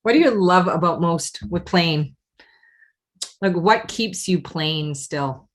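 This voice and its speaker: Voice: mid-range voice, lively intonation, takes it slowly. A podcast host asking interview questions and adding short affirmations.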